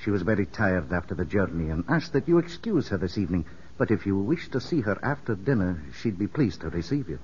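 An actor playing a polite, discreet manservant in a 1950s radio drama, speaking formally and deferentially. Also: male voice, low-pitched, very animated, brisk, a little mellow. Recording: muffled, noisy 1950s radio recording.

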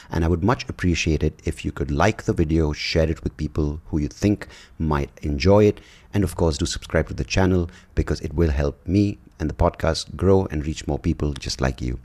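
A man hosting his own podcast, talking alone in a blunt, profane, sarcastic way, rambling through asides and jokes. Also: very deep voice. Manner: breaks into mocking shouts and laughs at his own jokes. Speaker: podcast host. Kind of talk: casual solo podcast monologue.

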